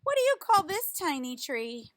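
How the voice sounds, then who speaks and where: A woman reading a picture book aloud like a teacher, in a deliberate, instructive way, putting questions to young listeners. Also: high-pitched, big pitch swings, fairly bright tone, dry recording.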